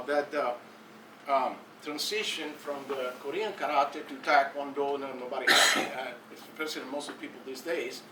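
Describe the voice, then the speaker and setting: Cuban man speaking haltingly from the floor. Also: mid-range voice. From Cuba. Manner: halting, many fillers and restarts. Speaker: audience member at academic taekwondo conference. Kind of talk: unscripted comments from the floor. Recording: roomy hall from a distance with faint noise.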